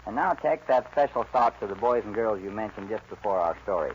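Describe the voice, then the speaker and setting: Formal American announcer, muffled vintage radio. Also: male voice, on the low side, lively intonation, fairly quick. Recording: muffled 1940s radio recording with hiss.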